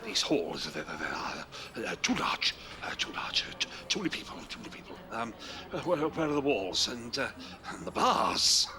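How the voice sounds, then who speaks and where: An actor playing a troubled, broken elderly father in an audio drama, halting, stammering and repeating his words. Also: medium pitch, very animated, pushed and bright, slight background noise. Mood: confused, fearful. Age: older. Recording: music behind the voice.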